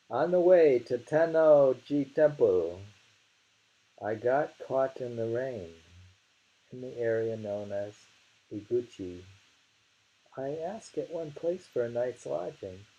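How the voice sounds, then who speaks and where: A man reading translated poetry aloud in a deliberate way and commenting on it casually, on a dull recording. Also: mid-range voice, expressive, mellow. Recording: dull lo-fi home recording with hiss.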